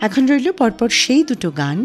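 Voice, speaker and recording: A woman's voice: fairly high pitch, sing-song, a little fast, faint music behind. A woman hosting a radio show, narrating a scripted biographical story. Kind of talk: scripted storytelling narration about a singer's life.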